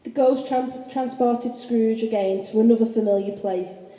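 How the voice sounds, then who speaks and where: A woman's voice: on the high side, steady tone, normal speed, dark tone. A woman reading scripted narration for a radio play. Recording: echoey hall with faint noise.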